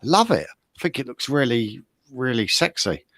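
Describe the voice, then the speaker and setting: A man's voice: medium pitch, big pitch swings, moderate pace, a bit bright, no room sound, clean studio sound. A man co-hosting in casual chat, rambling and insistently repeating himself. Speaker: co-host.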